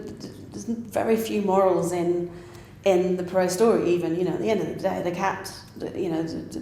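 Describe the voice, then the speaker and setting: Woman talking it through, mid pitch, echoey room w/ faint hiss. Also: a little mellow. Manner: thoughtful, restarts and repeats words. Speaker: pantomime writer and director. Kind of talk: interview answers.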